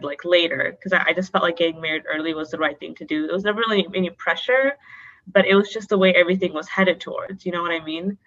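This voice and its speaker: A woman's voice: fairly low pitch, fairly bright tone, lo-fi sound. A young woman guest on a podcast, answering openly with frequent "um" and "like". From North Dakota, born in Bangladesh. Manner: open, many fillers like um and like. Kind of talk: candid interview answers.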